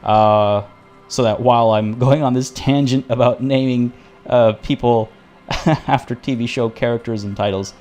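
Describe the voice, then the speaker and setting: A man's voice: mid-range voice, steady tone, unhurried, a little mellow, faint music behind. A man live-streaming a game, chatting to his viewers in a rambling way full of restarts, and saying he is losing his voice. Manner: rambling and tangential, with many restarts. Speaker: streamer playing a video game.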